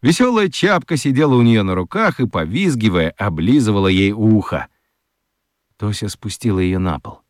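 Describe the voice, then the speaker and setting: A man narrating a children's radio play as an expressive storyteller. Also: fairly low pitch, normal speed, no echo, clean studio sound.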